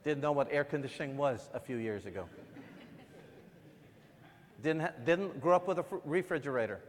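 Preacher from Guyana, a man with a higher-set voice, telling his story then building to fervor, heard in a reverberant hall. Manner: builds from quiet storytelling to loud, fervent emphasis. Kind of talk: sermon.

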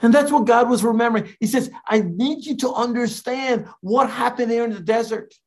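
A man from Guyana, an evangelist, preaching a sermon in a slow, deliberate way with emphatic rises. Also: very high voice, a little mellow.

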